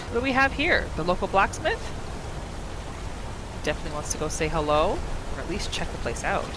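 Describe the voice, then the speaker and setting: A woman giving expressive, storytelling third-person commentary as she roleplays through a video game. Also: medium pitch, noisy background. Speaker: gamer narrating a roleplay playthrough. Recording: faint game music behind.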